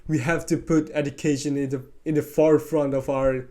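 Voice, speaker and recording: Brief: young man, slightly high voice, mellow tone, candid and sarcastic vlog talk. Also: flat delivery, brisk. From Indonesia. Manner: candid, sarcastic, exclaiming asides. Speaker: vlogger. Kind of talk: casual vlog commentary over on-screen data.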